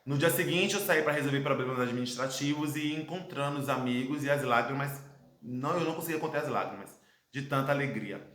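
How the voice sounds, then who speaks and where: A man in his thirties from Brazil with a Brazilian Portuguese accent, recounting his life abroad as a personal testimony, earnest and reflective, with dramatic pauses. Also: mid-range voice, a bit bright. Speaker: vlogger telling his life story. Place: his home, indoor room. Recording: echoey room.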